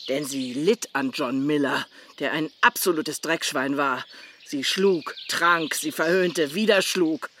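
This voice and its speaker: An actress playing a blunt, bitter woman who has kept secrets for years, speaking deliberately and sardonically in a German crime radio play. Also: low-pitched, a bit bright, a little hiss or hum. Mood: bitter, confessing.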